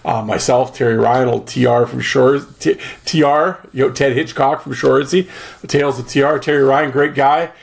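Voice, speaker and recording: A man with a gravelly, mid-pitched voice talks on his own podcast, loose and rambling, with plenty of fillers and dry jokes. His voice is going, so he keeps clearing his throat between thoughts. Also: steady tone, normal speed. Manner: rambling, chatty, joking, lots of fillers. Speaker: podcast host. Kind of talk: casual solo podcast chat.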